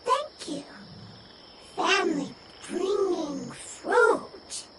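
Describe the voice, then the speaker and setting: Tiny, squeaky, halting creature. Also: female voice, lively intonation, heavy background noise, heavily acted. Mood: grateful. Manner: halting, broken short phrases. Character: tiny, simple, childlike nature creature, earnest. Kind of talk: video game voice acting. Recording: echoey, distant, loud game music.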